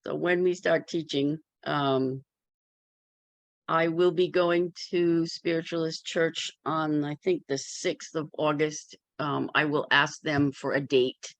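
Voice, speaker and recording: A woman's voice: sits low, fairly even intonation, slow pace, dry recording. A woman who teaches spiritual classes, chatting casually with a group.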